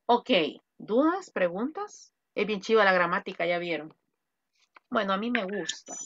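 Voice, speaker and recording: Woman, English teacher on a video call, bright, tense tone, dry and muffled sound, patient explaining. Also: mid-range voice, animated, measured pace, slight background noise. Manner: explains patiently, quizzes students with counting. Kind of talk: online grammar lesson in Spanish.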